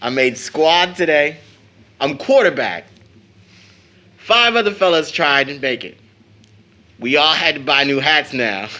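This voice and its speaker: A man's voice: a little high, animated, a little slow, pauses often, pushed and bright. A man acting an eager, cheeky, devoted teenage son in an audio drama, boyish and slangy. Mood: proud, excited.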